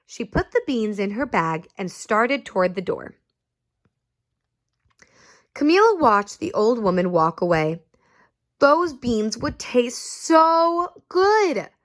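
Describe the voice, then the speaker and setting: A woman reading a children's picture book aloud, pausing to ask her young listeners questions. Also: a little high. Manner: slow and expressive, asks listeners questions. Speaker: storyteller.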